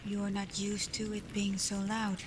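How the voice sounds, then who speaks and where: A woman voicing a caring, protective female alpha werewolf in an intimate ASMR roleplay, speaking softly, often near a whisper. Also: mid-range voice, completely flat delivery, moderate pace, heavy background noise, natural voice. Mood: gentle concern. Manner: soft, breathy, often near a whisper, soothing. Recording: loud storm ambience over the voice. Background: thunderstorm and rain ambience.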